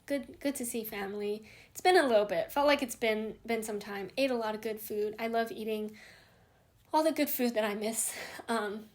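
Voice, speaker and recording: A woman's voice: fairly high pitch, moderate pace, darkish tone. A young woman from Hawaii hosting a knitting vlog, chatting casually and rambling with many fillers and restarts. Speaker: knitting podcast host.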